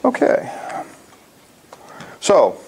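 A man's voice: extremely slow, darkish tone, a little hiss or hum. An American man in his sixties explaining things casually as he presents slides to a club in a lecture hall. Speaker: contest organizer presenting to a club.

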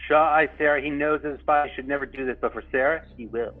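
Husky, high-voiced man reading directions. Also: fairly even intonation, fast talker. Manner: informal, with asides. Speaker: stage-direction reader. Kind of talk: reading script directions aloud at a live table read. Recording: dull, lo-fi sound, faint music behind.

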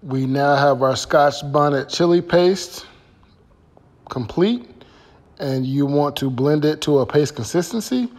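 A man's voice: on the high side, measured pace, a little mellow. Middle-aged American man in a reverberant room. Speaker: home cook hosting a cooking vlog. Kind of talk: casual recipe walkthrough to camera. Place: indoor home kitchen. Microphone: phone at arm's length.

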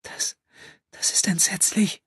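A man voicing a troubled, compassionate young woman in an audiobook, hushed, breathy and hesitant as she gently probes. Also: slow, no echo, whispered, studio quality. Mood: horrified, hushed.

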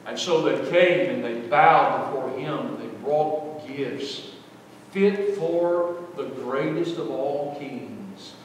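Preacher in an echoey sanctuary. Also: male voice, mid-range voice, slow, slight background noise. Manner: emphatic preaching, swings from loud to soft. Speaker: pastor. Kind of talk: sermon. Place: large church sanctuary. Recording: echoey hall, voice from far off.

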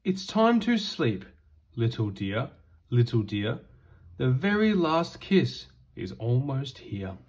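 A man reading a children's bedtime picture book aloud in a gentle, singsong rhythm. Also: medium pitch, big pitch swings, slow, breathy edge.

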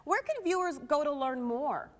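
A woman's voice: high voice, sing-song, normal speed, a bit bright, big-room sound. A young woman anchoring a local TV news segment, bubbly and effusive as she interviews guests in a studio.

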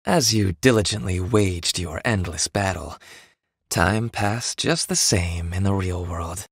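Deep-voiced, solemn prince. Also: male voice, gravelly, slightly rough, no echo, studio quality, exaggerated voice. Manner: measured, solemn storytelling. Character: calm, solemn, mournful royal prince, persuasive. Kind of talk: dramatic video game acting.

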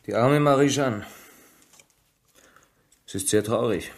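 A man's voice: medium pitch, slow. An actor in a German radio play, playing a bitter, evasive young village man with a crippled leg, curt and defensive. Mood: resigned.

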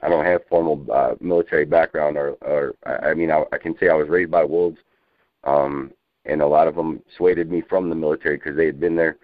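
A man talking informally about politics as an activist on a phone conference call, rambling and insistent, with halting, repetitive phrasing. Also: extremely low pitch, fairly even intonation, no echo. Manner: halting, repetitive, insistent, peppered with you know. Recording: muffled narrowband phone conference line.

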